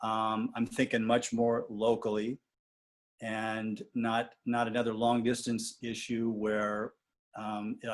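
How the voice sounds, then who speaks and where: Guy talking things through slowly, lots of ums and restarts, casual chat. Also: medium pitch, a bit bright. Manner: reflective, halting with many restarts and fillers. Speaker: client of a dating coach. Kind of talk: reflective casual conversation.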